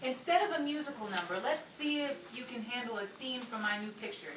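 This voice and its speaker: Woman acting as a poised high-voiced 1950s radio hostess. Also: a little fast, natural voice. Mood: patient, gently wry. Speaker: radio show host and actress. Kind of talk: imitation 1950s old-time radio acting. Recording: roomy, distant microphone, loud background noise.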